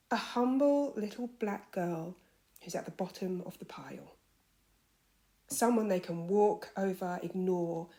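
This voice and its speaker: Rich: An actress performing a determined young woman who speaks firmly and earnestly. Her voice sits fairly high and she weighs each word slowly. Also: a little mellow. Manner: firm, earnest, emphatic. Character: determined young woman set on becoming a lawyer.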